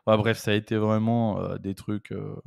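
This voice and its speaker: A man's voice: lowish voice, level tone, moderate pace, vocal fry, a little mellow, dry recording, studio quality. A man as a guest on a casual live chat, rambling with fillers and blunt slang.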